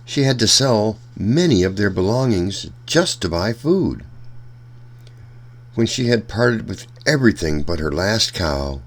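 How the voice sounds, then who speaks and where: A man reading a children's storybook aloud in an expressive way, addressing young listeners as a storytime narrator. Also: on the low side, unhurried. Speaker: storytime reader.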